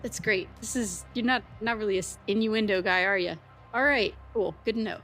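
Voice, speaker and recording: A woman's voice: medium pitch, a little fast, a bit bright, soft background music, close to an everyday voice. A woman playing a flirty, easygoing rebel woman in a tabletop game, with a casual, teasing manner.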